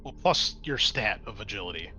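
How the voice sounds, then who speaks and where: A man's voice: on the low side, lively intonation, moderate pace, bright, forceful tone, faint music behind. A man running a tabletop roleplaying game as game master, improvising scene narration and explaining dice rolls.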